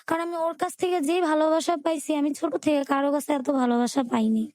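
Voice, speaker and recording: A woman with a Bangladeshi Bengali accent telling her life story in an interview, in a colloquial, halting way with repeated filler words. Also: sits high, fairly even intonation, a little mellow, dry recording, clean studio sound. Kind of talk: personal storytelling in a radio interview.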